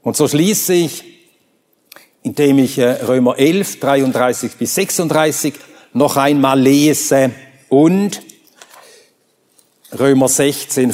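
A man whose first language is Swedish, preaching a sermon and reading scripture, emphatic and rising to high ringing exclamations. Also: a little mellow. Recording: long reverb of a large hall.

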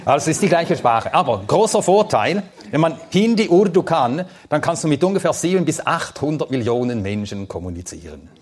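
A man's voice: a little high, sing-song, fairly quick, few pauses, a little mellow. Swedish-born man teaching a Bible class. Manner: thoughtful, plain-spoken, with hesitant fillers. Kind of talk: lecture with audience questions.

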